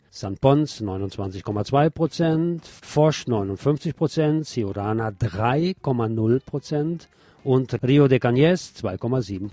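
A man's voice: mid-range voice, dark tone, no echo. A man reading scripted radio news with some pointed commentary.

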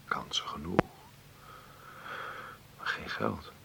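A man's voice: slow, in a whisper, lightly acted. An actor playing a man in his forties in a Dutch radio play, soothing and coaxing his uncle with cheerful encouragement. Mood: reassuring. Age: 40s. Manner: coaxing, reassuring. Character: forty-three-year-old nephew, soothing and cheerfully encouraging.

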